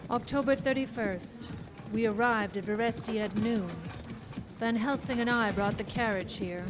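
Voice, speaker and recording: An actress playing a determined young woman, giving measured diary entries in a live radio horror drama. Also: a little high, close to an everyday voice. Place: small theater stage. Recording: noisy live stage broadcast with hoofbeats.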